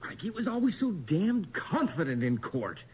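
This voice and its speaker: Inquisitive investigator, very animated intonation. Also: male voice, high voice, normal speed, breathy edge, heavily acted. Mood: wry, indignant. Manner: expressive, intent, questioning. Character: inquisitive, wry, uneasy male investigator. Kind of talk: 1980s radio horror drama acting. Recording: dull, noisy old radio recording.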